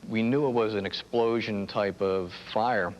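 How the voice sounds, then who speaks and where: Male controller interviewee, slightly low pitch, halting recollection, faint background noise. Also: steady tone, lo-fi sound. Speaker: air traffic controller, interviewee.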